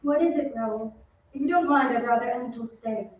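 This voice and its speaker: An actress plays a polite young woman of the opera with a high voice in an old-time radio play. She speaks slowly and gently, easily flustered. The sound is distant and muffled, ringing heavily around a theatre stage. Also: heavy reverb, lightly acted.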